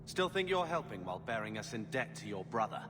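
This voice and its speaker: An actor playing an irritable young adventuring companion in a video game, sarcastic and grumbling. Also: male voice, lowish voice, sing-song, fairly quick, in a large hall, background noise. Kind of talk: video game cutscene acting.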